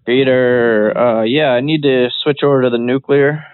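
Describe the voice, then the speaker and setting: A man's voice: medium pitch, fairly even intonation, moderate pace, clean tone, dry recording. A man making prank calls in a casual, rambling, feigned earnest manner. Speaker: prank caller. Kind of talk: casual phone prank chat. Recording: band-limited phone line.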